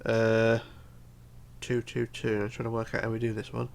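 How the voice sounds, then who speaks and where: A man's voice: fairly low pitch, monotone, normal speed, creaky. A man with a bunged-up, congested voice giving a casual step-by-step building tutorial, counting aloud methodically. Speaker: tutorial host.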